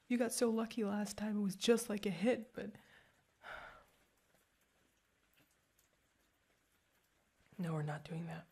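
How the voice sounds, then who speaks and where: A woman's voice: mid-range voice, gravelly, warm tone, breathy edge. A young woman playing a protective girlfriend who is furious and hurt, mostly whispering with stumbling, intense outbursts in an ASMR roleplay. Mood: hurt, loving.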